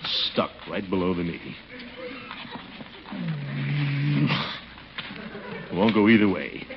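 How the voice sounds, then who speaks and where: A man's voice: low-pitched, moderate pace. A man acting a pompous, blustering, vain middle-aged man in a 1940s radio comedy, exclaiming and easily flustered. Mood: exasperated. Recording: noisy, dull 1940s radio recording.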